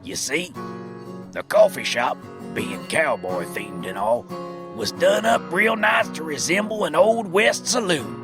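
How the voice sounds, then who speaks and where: A man's voice: on the high side, sing-song, measured pace. A man playing a wry, folksy American storyteller narrating a comic western audio drama. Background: plucked guitar music.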